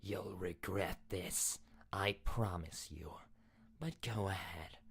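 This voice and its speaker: A cold, threatening captive princess in a video game. The voice drops very deep and moves very slowly, each threat deliberate and vengeful. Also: female voice, vocal fry, husky, heavily acted. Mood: cold, menacing.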